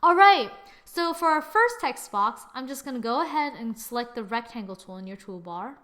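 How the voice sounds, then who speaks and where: A young woman with a fairly high voice walks through a screen-recorded software lesson. She chats casually and thinks aloud as she works, teasing her own results. It sounds like a computer microphone at a desk. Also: animated, moderate pace. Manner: chatty, self-mocking, thinks aloud while clicking. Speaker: software tutorial presenter.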